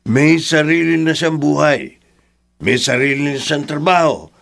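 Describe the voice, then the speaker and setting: A humble, gravelly middle-aged Filipino father. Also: on the high side, a little slow, fairly bright tone. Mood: resigned, defensive. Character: humble, guilt-ridden father of a grown daughter. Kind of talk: radio drama acting.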